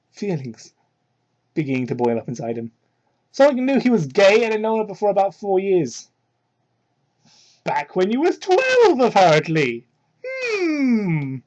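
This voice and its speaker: High-voiced man on a muffled mic. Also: darkish tone, a little hiss or hum. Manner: sarcastic, incredulous asides with theatrical emphasis. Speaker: host doing a dramatic reading of fan fiction. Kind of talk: mocking read-aloud with ad-libbed commentary. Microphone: cheap microphone. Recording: muffled, low-quality cheap microphone.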